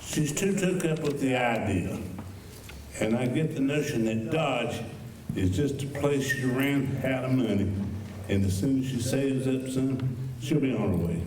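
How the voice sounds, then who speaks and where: A man acts out a fretful Old West shopkeeper for a radio play. He rambles and grumbles in a folksy way at a very slow pace. The sound is echoey, as from a stage. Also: mid-range voice, a little mellow, natural voice. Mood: wistful, gossipy. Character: fretful, talkative old-west general store keeper, man. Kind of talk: live old-time radio western acting. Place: high school auditorium stage. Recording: echoey stage, distant mic, faint noise.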